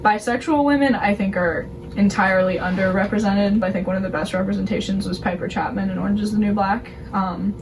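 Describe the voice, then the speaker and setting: A woman's voice: mid-range voice, brisk, far from the mic. A woman of twenty, talking candidly and bluntly in an outgoing, chatty way. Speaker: interviewee. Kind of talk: candid casual talk. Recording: noisy background hiss.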